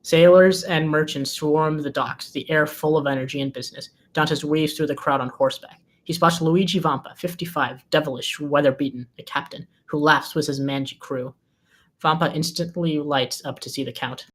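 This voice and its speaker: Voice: mid-range voice, steady tone, moderate pace. A narrator reading scene headings and stage directions at a screenplay table read.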